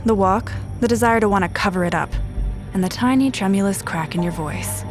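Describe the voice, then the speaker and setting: Actress doing dry sharp lady detective, deadpan noir radio play, medium pitch voice, music in background. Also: close to an everyday voice. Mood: cool, probing. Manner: deadpan, sardonic, deliberate. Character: sharp-eyed, dry, no-nonsense young female detective. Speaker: detective and narrator. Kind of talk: audio drama dialogue and noir narration. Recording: music behind the voice.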